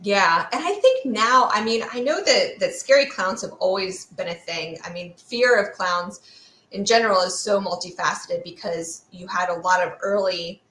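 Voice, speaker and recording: A woman's voice: mid-range voice, normal speed, a bit bright. A woman speaking as a guest expert in an interview, explaining ideas in a conversational way. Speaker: guest expert, fear sociologist. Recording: roomy, echoey sound.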